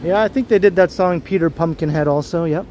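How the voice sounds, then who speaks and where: A man talks easily to his viewers as he strolls down a city street. His voice is deep and mellow, and it sits a little high for a man. Faint traffic hum runs underneath. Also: fairly quick. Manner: chatty, greets viewers as he walks. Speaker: livestream host. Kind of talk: casual walking livestream chat. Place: outdoors on a busy city street.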